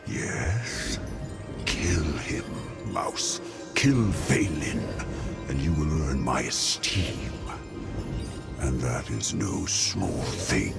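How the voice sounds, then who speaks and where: A man playing a haughty, brooding, menacing inhuman ruler in a video game, speaking with slow theatrical disdain and breathy, gravelly edges. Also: very deep voice, big pitch swings, heavily acted. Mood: brooding, commanding. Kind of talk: video game cutscene acting. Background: loud music.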